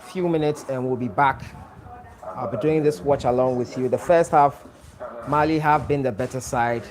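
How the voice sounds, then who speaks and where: A man's voice: medium pitch, fairly even intonation, normal speed, crowd noise. A man from Ghana hosting a live football watch-along, chatting and greeting viewers by name as he reads their comments. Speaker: watch-along stream host. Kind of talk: live stream chat reading viewer comments.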